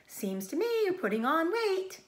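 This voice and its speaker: Elderly female sheep for a storybook, woman performer: raised pitch, blunt, snappish, world-weary. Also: big pitch swings, moderate pace. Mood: nosy. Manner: loud, blunt, matter-of-fact.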